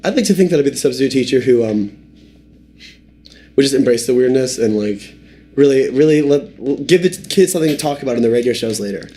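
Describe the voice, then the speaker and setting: A young man co-hosting a comedy podcast, telling absurd stories with mock-serious excitement. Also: mid-range voice, a little fast. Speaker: podcast co-host. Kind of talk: comedic storytelling chat.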